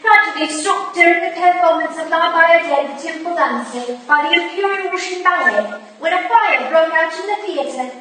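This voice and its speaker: A woman's voice: extremely high pitch, normal speed, bright, forceful tone. A woman playing a polite, earnest young Victorian assistant in a staged play reading. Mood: reading gravely. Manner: polite, proper. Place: live staged reading in an echoey hall. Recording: echoey hall, distant mic, faint noise.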